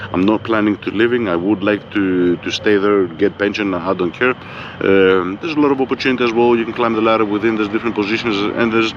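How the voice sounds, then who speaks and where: Man, Greek accent, low voice, monotone, casual and profane, dull lo-fi audio. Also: slight background noise. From Greece. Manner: laid-back, swears freely, keeps saying "you know what I mean". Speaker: vlogger. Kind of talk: casual monologue.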